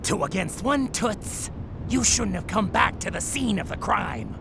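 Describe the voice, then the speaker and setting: Reptilian gangster goon, slow and slangy, brash, noisy rumbling background, noir audio drama. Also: big pitch swings, creaky, exaggerated voice. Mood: menacing. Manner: slangy tough-guy gangster talk. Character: thuggish lizard-man goon, muscle for hire, brash and dim.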